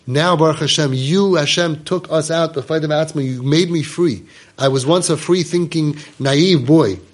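Husky-voiced rabbi giving an animated class. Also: male voice, fairly high pitch, a little slow. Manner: animated, mixes Hebrew and Yiddish words into English. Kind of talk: Torah class lecture.

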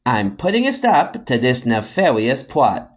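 An earnest hero from a children's audio comedy, acted by a man. He sounds good-natured and a little mock-heroic, with lively, varied intonation. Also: mid-range voice, unhurried, husky. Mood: indignant, determined.

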